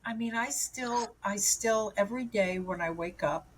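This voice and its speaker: A woman's voice: mid-range voice, moderate pace, crowd noise, poor audio. A woman in her seventies, from New York, telling her own story as an interview guest in a candid, rambling way with lots of fillers and restarts. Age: 70s.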